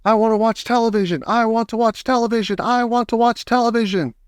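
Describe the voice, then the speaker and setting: Guy doing a teeny bratty kid from a storybook, squeaky n high, wont be told no. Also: fairly even intonation, quick pace, smooth, no room sound, studio quality. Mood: tantrum. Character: tiny shrunken bratty boy, squeaky and defiant. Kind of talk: children's storybook character voice.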